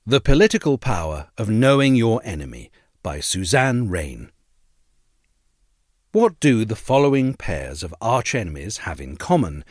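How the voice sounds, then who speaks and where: A man reading a scripted historical essay in a measured, articulate manner. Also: on the low side, no room sound, studio quality.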